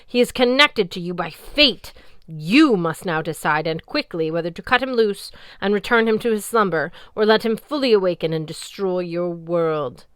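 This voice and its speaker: Ran, a woman voicing a booming sea goddess. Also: unhurried, a bit bright, clean tone, dry recording, studio quality, close to an everyday voice. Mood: scornful. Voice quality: deep baritone, booming. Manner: haughty and commanding. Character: imperious ancient sea goddess, deep-voiced and scornful.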